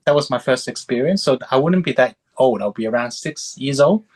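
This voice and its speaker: A man's voice: medium pitch, animated, fairly quick. A man in his thirties, born in Hong Kong and raised in Sydney, chatting as a film critic being interviewed in an easy, rambling way with lots of fillers and restarts. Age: 30s. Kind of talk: casual interview chat.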